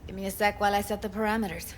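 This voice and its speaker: A woman's voice: medium pitch, fairly even intonation, racing, slight background noise, lightly acted. An actress playing a guarded, blunt young woman who is a tech expert in a video game. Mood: weary, bitter. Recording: music behind the voice.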